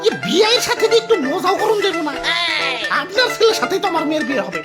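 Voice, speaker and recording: A man's voice: very high-pitched, sing-song, rapid-fire, over loud music. A man playing a haughty, scornful rich orange fruit father in a comic cartoon, with a Bangladeshi accent and a pompous manner. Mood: fawning. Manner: pompous, scolding, sneering. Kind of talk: exaggerated comic cartoon acting.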